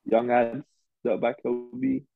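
A man's voice: mid-range voice, level tone, measured pace, vocal fry, narrow, tinny audio. A British man, a podcast guest, chatting casually in a playful, teasing way and using lots of slang. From United Kingdom. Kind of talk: casual chat.

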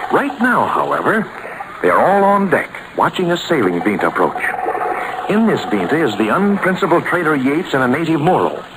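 A man announcing in hearty, emphatic 1940s radio style on a noisy vintage recording. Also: medium pitch. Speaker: announcer and narrator.

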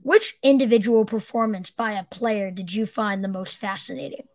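A woman's voice: medium pitch, normal speed, a little mellow, dry recording. A woman hosting a casual sports interview podcast and putting questions to a guest.

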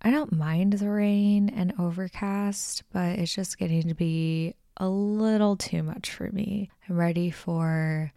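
A woman's voice: on the low side, fairly even intonation, slow pace, hardly any pauses, warm tone, clean tone, dry recording. A woman hosting a casual solo podcast, chatting in a rambling way with lots of "like" fillers, her voice slightly nasal from allergies, on a close microphone. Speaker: podcast host.

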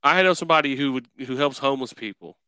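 A man's voice: mid-range voice, fast talker, bright, forceful tone, smooth, dry recording. A man hosting a casual interview, rambling and circling his way toward a question. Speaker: podcast host interviewer.

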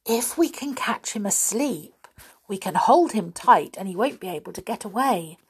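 A woman's voice: mid-range voice, expressive, normal speed, breathy edge, pitched above the performer's own voice. A woman voicing a bold, chatty little girl from a storybook, emphatic and exclaiming. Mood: alert.

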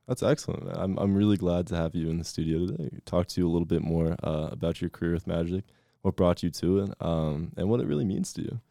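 A young man hosts a podcast with a very low, gravelly voice that has a mellow, dark tone. He speaks in an easygoing way, stretching out his questions with frequent ums. The recording is close and dry. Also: quick pace, no echo. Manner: easygoing, with frequent fillers and a relaxed pace. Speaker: podcast host. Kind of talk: casual interview hosting.

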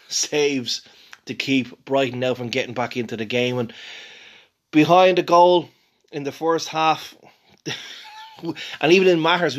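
A man from Ireland hosting a casual football podcast, talking enthusiastically and chuckling as he reacts to a match. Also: on the high side. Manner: enthusiastic, chatty, laughs while talking. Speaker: podcast host.

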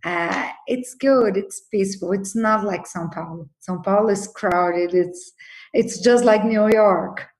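A woman's voice: medium pitch, measured pace, darkish tone. A woman in her fifties from Brazil with a Brazilian Portuguese accent, answering interview questions hesitantly with many fillers. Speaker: guest, attorney and novelist.

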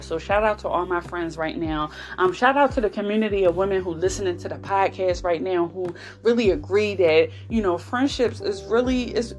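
An American woman hosting a podcast, talking in a casual, heartfelt and conversational way, repeating phrases for emphasis with an earnest, encouraging manner. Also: medium pitch. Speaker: podcast host. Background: background music.

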